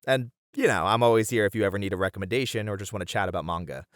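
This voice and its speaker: Man playing a low-voiced, easygoing young bunny boy. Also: racing, no room sound, studio quality, natural voice. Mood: friendly, inviting. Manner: chatty, easygoing, welcoming, with casual slangy hesitations. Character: friendly young bunny boy, nostalgic manga cafe regular. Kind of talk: ASMR roleplay, casual conversational acting.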